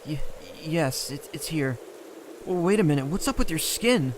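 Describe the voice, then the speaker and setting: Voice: mid-range voice, lively intonation, moderate pace, a little hiss or hum, lightly acted. An actor playing an anxious, earnest young boy in a fantasy audio drama, hesitant and stammering. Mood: shaken.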